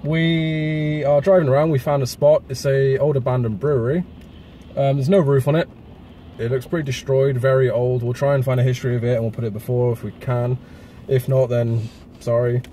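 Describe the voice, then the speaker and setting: A man's voice: medium pitch, fairly even intonation, moderate pace, a little hiss or hum. A young man, casually presenting an urban exploring vlog. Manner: chatty, upbeat presenter, hushed while exploring. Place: car interior, then abandoned building.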